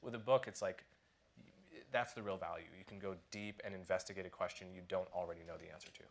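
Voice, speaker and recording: A man on a conference panel talks about writing, his voice sitting a little low. He is thoughtful and careful, pausing and restarting phrases as he works out what he means. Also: steady tone. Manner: thoughtful, halting with frequent restarts. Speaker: panel guest, author.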